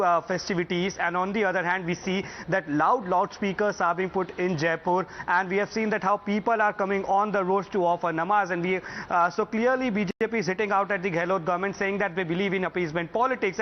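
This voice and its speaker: Indian male news reporter, high voice, halting live report, dull broadcast sound. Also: slight background noise. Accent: Indian English. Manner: hesitant, many fillers and restarts.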